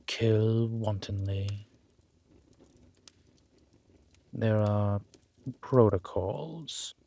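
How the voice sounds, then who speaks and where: A man playing an urbane, centuries-old vampire in an audio roleplay, with formal, measured phrasing and dry condescension. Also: lowish voice, a little mellow. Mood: composed, dryly menacing. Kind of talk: scripted audio roleplay drama.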